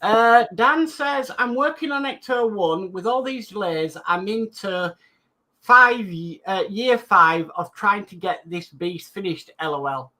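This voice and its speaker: A man's voice: sits high, animated, a little slow, sharp tone, poor audio. A man co-hosting a live stream, reading out viewer comments and chatting about them casually. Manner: reads chat comments aloud, then chats loosely. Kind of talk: casual chat.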